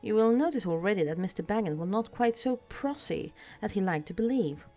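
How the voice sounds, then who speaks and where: A woman's voice: medium pitch, expressive, fairly quick, dark tone. A woman reading a storybook aloud as scripted narration. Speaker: audiobook reader. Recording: dull sound with music behind.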